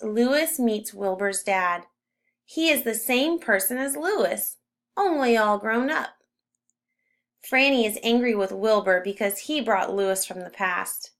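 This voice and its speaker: A woman narrating a children's story as a read-aloud, with expressive emphasis on key words. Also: on the high side. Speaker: storybook reader.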